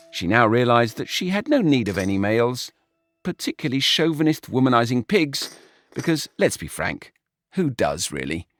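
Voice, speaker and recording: A man's voice: mid-range voice, no echo. A man reading a comic short story aloud in a wry, dry storytelling manner. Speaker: narrator. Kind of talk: storybook narration.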